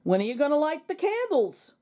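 A greedy, red-faced goblin from a children's storybook, voiced by a woman. It is impatient and grumbles, with an animated rise and fall in pitch. Faint music runs behind it. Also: brisk. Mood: curious, impatient.

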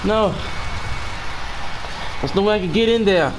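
A man's voice: high voice, unhurried. A male amateur performer playing a distraught, determined young son in a game roleplay film, recorded on a noisy home mic. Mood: worried, desperate.

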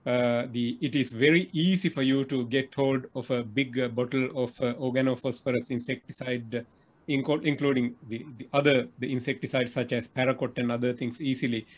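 A man's voice: mid-range voice, fairly even intonation, moderate pace. A middle-aged man interviewing over a video call, earnest, with many fillers and restarts. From Sri Lanka. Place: indoor room.

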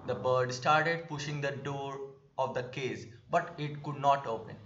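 A man's voice: medium pitch, measured pace, a bit bright, a little hiss or hum. Young Indian male narrator, roomy sound. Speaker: narrator of student skit. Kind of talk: scripted narration. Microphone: video call. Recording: roomy, dull video-call audio.

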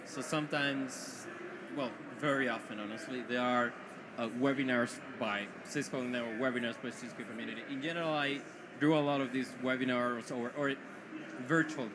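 Male panelist, mid-pitched, halting delivery, noisy background. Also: steady tone. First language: Spanish. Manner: hesitant, searching for words. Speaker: panel guest. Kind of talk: casual panel chat. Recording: noisy conference stream.

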